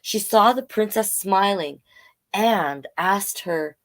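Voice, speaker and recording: Female storytime host, mid-range voice, unhurried read-aloud with encouraging asides. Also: mid-range voice. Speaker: children's storytime reader and host. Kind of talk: reading a picture book aloud with chatty asides.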